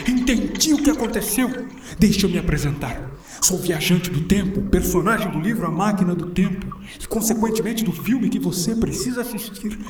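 A man's voice: high-pitched, animated, normal speed, music in the background. A man acting in a radio drama as an enigmatic time traveler, formal, theatrical and musing. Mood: excited. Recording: echoey, distant, noisy ambience behind.

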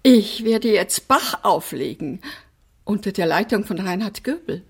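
A woman's voice: mid-range voice, animated, a little slow. An actress playing an eccentric, chatty mother in a radio play, rambling and exclamatory. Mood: proud, rambling. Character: eccentric, chatty mother fussing over pet fish. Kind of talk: radio drama acting.

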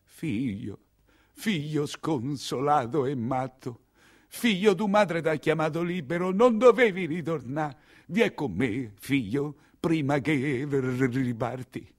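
Casting: frail, sentimental old general, Roman accent, stumbling over words, slow and swooping, radio play. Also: male voice, a little high, animated, voice pushed up. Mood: tender, insistent. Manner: halting, stammering, repeating himself. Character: doddering, sorrowful hundred-year-old general, a sentimental father. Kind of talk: theatrical radio monologue acting.

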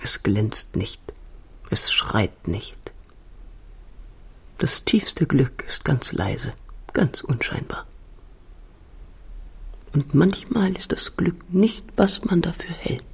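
An actress playing a dying old woman in a radio drama, resigned and tenderly wise, speaking in a halting, reflective way. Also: low-pitched, big pitch swings, vocal fry, slightly rough, whispered, exaggerated voice. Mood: quietly reflective. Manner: slow, halting, reflective delivery.

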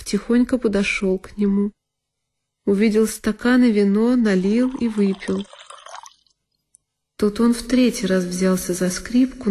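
An actress playing a frightened young girl who recalls a dreadful night in a measured, literary audio drama narration. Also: mid-range voice, mellow, lightly acted. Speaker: solo performer of an audio drama. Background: music behind the voice.

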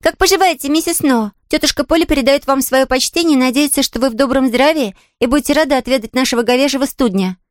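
A woman's voice: high-pitched, fast, hardly any pauses, dry recording, studio quality, pitched above the performer's own voice, natural voice. A woman voicing a polite, chatty, cheerful little girl in an audiobook, eager and artless. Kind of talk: audiobook character voice.

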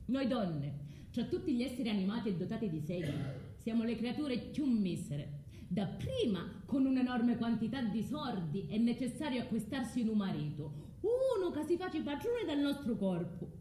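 An actress with a southern Italian accent playing a proud, vengeful, scorned foreign wife in a declamatory radio drama monologue. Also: female voice, a little high, big pitch swings, normal speed, warm tone, reverberant, slight background noise. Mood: bitter, reflective.

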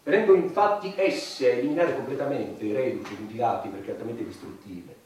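An actor playing a pompous, calculating head of a warring government, orating in a satirical stage play. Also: male voice, medium pitch, a little fast, a little mellow, natural voice. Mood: pompous, lecturing. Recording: distant echoey hall, faint noise.

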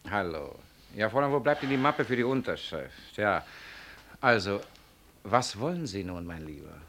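A man's voice: lowish voice, sing-song, moderate pace, fairly bright tone. A man playing a condescending, impatient company director in a German radio play, patronising and officious.

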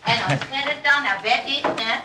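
A woman playing a brisk, motherly and commanding rest-home matron in a Dutch children's radio play. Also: high voice, background noise, close to an everyday voice. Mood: kindly, planning. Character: bossy but caring matron of a chaotic rest home.

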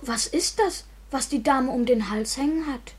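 High-voiced, timid orphan boy. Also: moderate pace, slightly rough. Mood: wondering. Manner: polite, simple, earnest. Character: timid, earnest orphan boy, Oliver Twist. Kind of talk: 1950s German radio play acting.

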